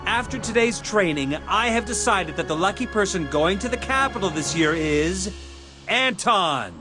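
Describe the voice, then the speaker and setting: Older paternal boss man in a game, measured speechy delivery, kinda high voice, music behind. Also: lively intonation. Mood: solemn, announcing. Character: older male leader addressing children, paternal authority. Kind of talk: formal speech to a gathering.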